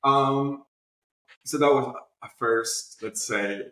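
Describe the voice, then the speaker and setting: A man speaking casually as an interview guest, telling stories in an animated way with quoted asides. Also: medium pitch, slow pace. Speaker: interview guest, publishing platform founder. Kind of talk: casual conversational interview answers. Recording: roomy, echoey sound.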